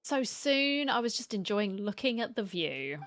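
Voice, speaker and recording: Female game streamer: bright, forward voice, playful chatty asides, casual reaction style, faint music behind. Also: medium pitch, very animated, a little slow, no echo.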